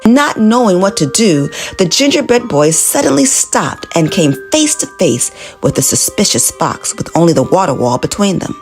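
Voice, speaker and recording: A woman narrating a children's storybook in an animated, expressive read-aloud manner. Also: on the low side, normal speed, few pauses, faint music behind.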